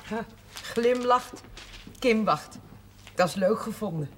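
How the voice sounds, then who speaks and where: An actress playing a candid, gently teasing woman in a 1970s television drama, wry and conversational. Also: a little high, animated, takes it slowly. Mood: amused, doubting. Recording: slightly noisy 1970s television soundtrack.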